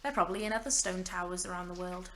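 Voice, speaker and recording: A woman's voice: on the low side, fairly even intonation, fast, sharp tone. A woman playing a stranded, centuries-lonely mage in an audio drama, wry and bitter yet fragile, rambling in self-mocking asides. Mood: bitter, distrustful. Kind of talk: audio drama monologue, naturalistic acting.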